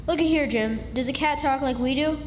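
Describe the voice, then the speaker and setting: Lady doing a plucky country boy, folksy know it all, highish voice, radio drama w echo. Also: a little fast, a little hiss or hum, natural voice. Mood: arguing. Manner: folksy, backwoods boyish delivery. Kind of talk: stage radio drama acting. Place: live stage in a hall. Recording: echoey hall, music behind.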